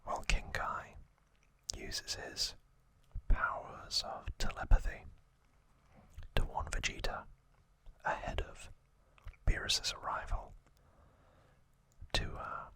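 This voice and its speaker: A man hosts an ASMR recap in a steady whisper. He goes very slowly, phrase by phrase, leaving pauses between them. The whispered sound is bright and sharp. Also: no echo. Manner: hushed, deliberate, short phrases with pauses. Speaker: ASMR host.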